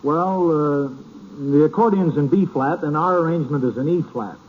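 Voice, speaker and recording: Male American bandleader, hesitant comic straight man, slightly high voice, muffled 1930s radio. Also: normal speed. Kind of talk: scripted comic radio banter. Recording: dull, noisy 1930s radio recording.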